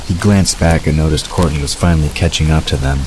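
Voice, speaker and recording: Really deep male narrator reading a story, noisy hissy background. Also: fairly quick. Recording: noisy, rain-like hiss behind.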